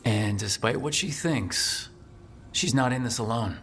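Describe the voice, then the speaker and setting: A steady, reassuring game hero. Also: male voice, medium pitch, unhurried, slight background noise. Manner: calm, encouraging, plain-spoken. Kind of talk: video game voice acting.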